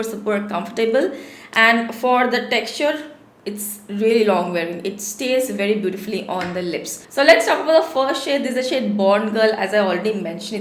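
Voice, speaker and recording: A woman in her thirties with a sore throat talks through a product review in a friendly, chatty way, often repeating words for emphasis. Her voice sits a little high. The small room around her gives it a noticeable echo. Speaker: beauty vlogger. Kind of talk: casual product review to camera. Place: small home studio room.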